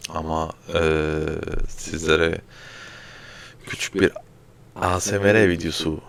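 A man talking casually to viewers as an amateur ASMR creator, in a hesitant, halting way with drawn-out pauses. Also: a bass voice, steady tone, creaky, fairly bright tone, a little hiss or hum.

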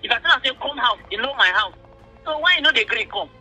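A Nigerian man pleads his case in a very sharp, forceful voice. He talks fast and sits high for a man. He is emphatic and circles back over his points, with music playing behind the call. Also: expressive, slightly rough. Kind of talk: earnest phone conversation in mixed English and Pidgin.

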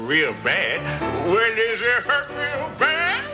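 A man's voice: extremely high pitch, huge pitch swings, takes it slowly, loud music behind the voice, exaggerated voice. A man playing a flamboyant, sly, jive-talking cartoon character who drawls and teases.

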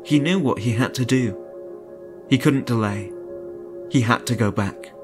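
Man narrating a horror story over music. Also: mid-range voice. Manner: suspenseful, deliberate, theatrical delivery. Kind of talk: dramatic horror audiobook narration.

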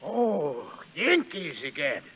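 A man's voice: on the high side, very animated, slow pace, very noisy, exaggerated voice. A man playing a proud, hostile old Southern colonel in 1930s radio drama, pompous and testy. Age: older. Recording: muffled, phone-like 1930s radio recording.

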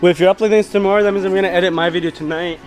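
A man, vlogging casually in an excitable, slangy, profane way. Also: sits high, very fast, soft background music. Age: 20s. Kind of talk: casual vlog chat to camera and friends. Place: big store and parking lot at night. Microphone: action camera at arm's length.

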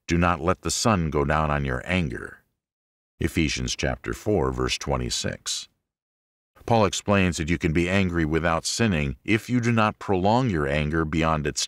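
A man with a notably low voice narrates an instructional audiobook. His delivery is deliberate and measured, setting out each point as a lesson. The sound is dry, with very little room around it. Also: dry recording. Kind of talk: scripted audiobook narration of religious self-help.

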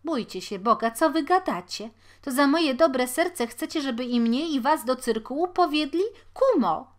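A woman reading an audiobook, voicing a frantic, worn-out young servant woman who pleads loudly in a very high voice. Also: expressive. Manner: very high, loud, rapid pleading.

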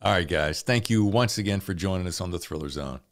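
Deep-voiced male podcast host. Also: brisk. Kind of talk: enthusiastic podcast interview chat.

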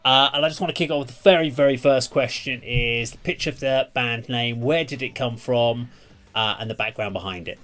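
A man's voice: mid-range voice, fairly quick, a bit bright. A middle-aged man asking questions casually as an interview host on a video call from a room.

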